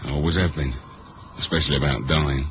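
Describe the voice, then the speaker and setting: An actor playing a tough, wry British spy in a 1970s radio drama, speaking in a laconic, colloquial way. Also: male voice, extremely low pitch, fairly even intonation, brisk, fairly bright tone. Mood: grim, subdued. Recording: dull, noisy old radio recording.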